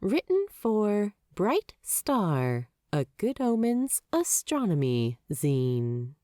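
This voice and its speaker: A woman's voice: drawn-out words, no room sound. A woman reading fan fiction aloud as a podfic narrator, telling the story expressively. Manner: expressive storytelling with wide swings of pitch.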